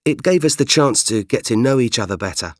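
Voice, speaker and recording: A man doing a young British writer, British accent, easygoing, mid-pitched, dry studio sound. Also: lively intonation, fairly quick, dry recording, natural voice. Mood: friendly. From Britain. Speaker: magazine writer character. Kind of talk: scripted course dialogue.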